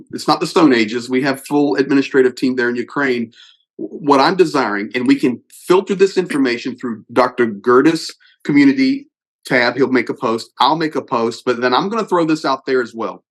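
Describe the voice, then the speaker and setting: A middle-aged man who hosts a channel and works as a pastor and aid volunteer, making an earnest, emphatic appeal to viewers. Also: mid-range voice. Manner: earnest, emphatic, pushes on through restarts. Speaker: host.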